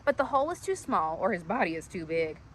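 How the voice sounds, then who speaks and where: A woman storyteller reading a rhyming children's story aloud in an animated style. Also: fairly low pitch, fast, slight background noise.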